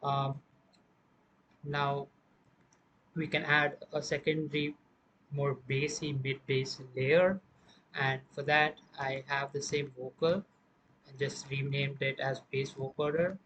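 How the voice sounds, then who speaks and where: A man presenting an informal music production software tutorial, explaining step by step in a halting way. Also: medium pitch, level tone, slight background noise, poor audio.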